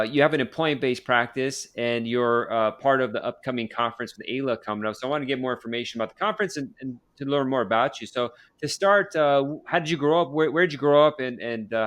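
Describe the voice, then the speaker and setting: Fast-talking male podcast host. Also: mid-range voice, fairly bright tone. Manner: rapid, rambling, frequent fillers and restarts. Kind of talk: casual interview and promo.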